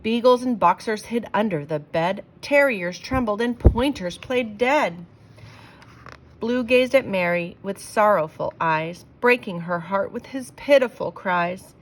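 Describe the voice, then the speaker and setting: A woman reading rhyming verse aloud for children in an expressive, theatrical storytelling way, leaning on the rhymes. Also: medium pitch, a little slow, slight background noise, poor audio.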